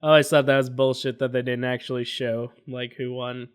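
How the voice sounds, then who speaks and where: A man's voice: mid-range voice, little pitch movement, moderate pace, clean tone. A man hosting a reaction video, chatting casually to viewers and swearing freely.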